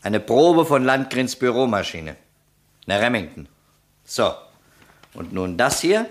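Skeptical police detective, swinging intonation. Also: male voice, medium pitch, sing-song. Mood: probing, businesslike. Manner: brisk, questioning, dismissive. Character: skeptical, needling male police detective on a murder case. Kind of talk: vintage German crime radio play acting.

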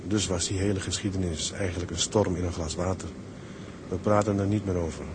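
A man's voice: sits low, fairly even intonation, a little fast, background noise, lightly acted. An actor playing an affectionate young seaman husband in a radio drama, talking. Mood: reflective, apologetic. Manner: colloquial, affectionate. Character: Jan, warm male merchant seaman, loving young husband.

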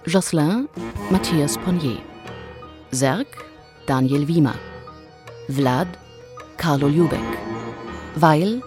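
A woman reading a scripted credits announcement for a radio drama in measured, separated phrases. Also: sits low. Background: music.

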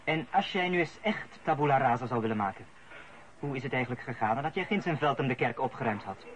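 An actor playing a methodical, coolly goading police interrogator with a Flemish accent in a radio play. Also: male voice, mid-range voice, animated, fast, natural voice. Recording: dull radio play, faint noise.

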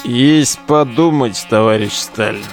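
An actor in a modern audio drama playing a foul-mouthed, mocking relic digger who talks in coarse slang. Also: male voice, medium pitch, unhurried, soft background music, close to an everyday voice. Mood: mock-military deference. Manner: slangy, coarse, jeering banter.

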